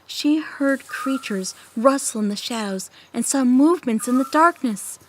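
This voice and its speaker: A young woman acting a calm, mysterious big sister telling tales in a measured way in an audio play. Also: medium pitch, slight background noise, lightly acted. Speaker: storyteller. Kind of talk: dramatic storytelling in an audio play.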